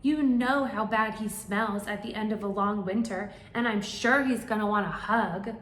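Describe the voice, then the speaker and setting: A woman's voice: mid-range voice, moderate pace, a bit bright, echoey room, natural voice. A woman voicing a cranky, grumbling rabbit in a children's storybook. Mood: complaining. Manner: grumbling, complaining. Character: grumbling, cranky rabbit who dreads spring.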